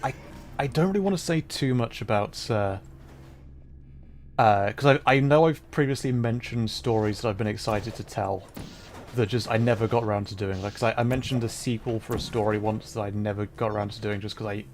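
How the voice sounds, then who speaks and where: A man's voice: lowish voice, a little fast, a little mellow. A man livestreaming a game with casual commentary and chat, half-muttering to himself as he plays. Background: game music.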